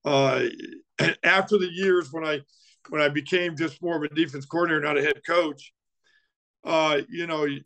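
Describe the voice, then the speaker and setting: A male football coach with a raspy voice, chatting as a podcast guest, chuckling often and halting with many fillers. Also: fairly high pitch, fairly even intonation, a bit bright. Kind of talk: phone-in sports podcast chat.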